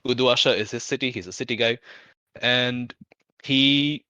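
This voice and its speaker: A man talking casually and informally about a tabletop roleplaying game, feeling out his thoughts haltingly as a player. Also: medium pitch, fairly even intonation, pushed and bright, smooth, no echo, dull audio. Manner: halting, thinking aloud, informal with swearing. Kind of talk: casual out-of-character game discussion.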